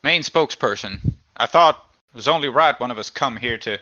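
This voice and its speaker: A man playing a gruff, streetwise gang man in a tabletop game, plain-spoken and halting as he offers a truce. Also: mid-range voice, lively intonation, pushed and bright, dry recording, muffled recording. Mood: earnest, coaxing. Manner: folksy, plain-spoken, halting, repeating himself. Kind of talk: improvised tabletop roleplay.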